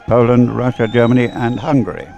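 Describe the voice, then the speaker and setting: A man's voice: mid-range voice, flat delivery, fairly quick, gravelly, warm tone, dull audio, soft background music. A man giving live race commentary in a conversational, hesitant way, thinking aloud as he goes. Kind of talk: live sports commentary, unscripted. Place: commentary room overlooking the course.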